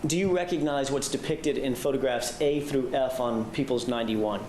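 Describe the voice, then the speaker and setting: A man questioning a witness in a courtroom, measured and methodical, with frequent fillers. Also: medium pitch, a little mellow. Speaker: prosecutor. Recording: echoey courtroom audio with background hum.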